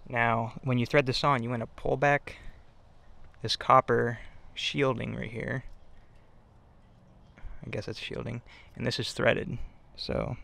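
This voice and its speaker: A man talking through a hands-on installation in a casual, unscripted way, halting and offhand as he thinks aloud. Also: medium pitch, level tone, vocal fry, sharp tone. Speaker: DIY installer giving a how-to. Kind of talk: casual unscripted tutorial narration.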